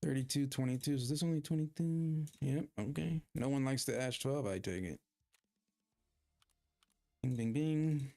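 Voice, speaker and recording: A man with a gravelly, creaky edge to his mid-pitched voice chats through a gaming livestream. He rambles loosely, trails off, and pokes fun at himself while he plays. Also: a little slow, pauses often, dark tone. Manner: rambling, self-deprecating, chatting with viewers while playing. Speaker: streamer. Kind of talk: casual gaming livestream chat.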